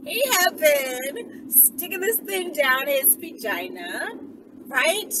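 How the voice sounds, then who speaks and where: A woman chatting casually in a rambling storytime to her followers, animated, slangy and profane, often tagging her sentences with little check-in questions. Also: sits high, slow. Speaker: vlogger telling stories to followers. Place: inside a car while driving. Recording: noisy moving car, distant mic.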